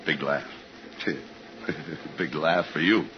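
Deep-voiced, hard-boiled police sergeant. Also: male voice, steady tone, extremely slow, heavily acted. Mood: sarcastic, needling. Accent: American. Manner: brusque, wisecracking American slang. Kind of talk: 1950s radio thriller acting. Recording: dull, noisy 1950s radio recording.

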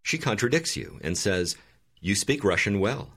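A deep-voiced man narrates a language lesson, giving calm and clear prompts. His low voice still moves up and down expressively as he guides each exercise. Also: measured pace. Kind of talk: scripted instructional narration.

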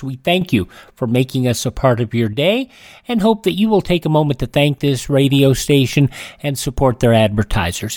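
A male radio host delivers scripted presenting in deliberate phrases. His voice is medium in pitch, and the sound is dry. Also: no room sound.

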